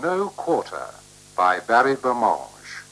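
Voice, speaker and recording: Very slow, deliberate male radio announcer. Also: mid-range voice, huge pitch swings, fairly bright tone, low-quality recording. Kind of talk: scripted programme announcement. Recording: old radio recording with static.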